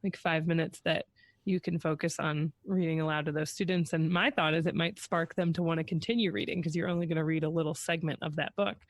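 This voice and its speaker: A man's voice: on the high side, steady tone, brisk, no room sound. A man speaking conversationally as a co-host in a podcast discussion.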